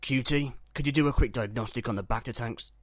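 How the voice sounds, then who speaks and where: A steady, reassuring medic aboard a starship, acted by a man. He has a mid-pitched voice and a matter-of-fact delivery, recorded very dry for a roleplay series. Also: fairly quick, dry recording, close to an everyday voice. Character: calm, reassuring male ship medic. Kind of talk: Minecraft roleplay web series voice acting.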